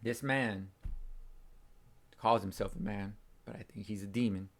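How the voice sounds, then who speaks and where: Hoarse, excitable young man. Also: fairly low pitch, a little slow. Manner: excitable, slangy, says bro a lot. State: hoarse from screaming. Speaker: fan channel host. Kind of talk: casual post-game reaction.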